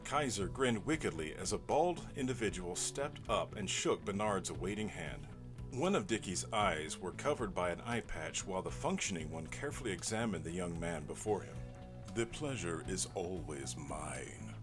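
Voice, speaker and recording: A man's voice: low voice, animated, normal speed. A man delivering dramatic scripted narration in a theatrical, mock-serious way. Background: music.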